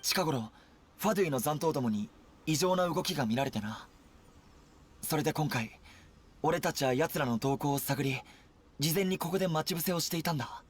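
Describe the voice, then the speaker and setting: An actor playing an earnest, dutiful young army captain in an anime game, with a formal military manner. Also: male voice, a little high, lively intonation, rapid-fire, pauses often. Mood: relieved. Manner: crisp, military, formal recitation and briefing. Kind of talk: Japanese anime game voice acting. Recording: game dialogue over background music.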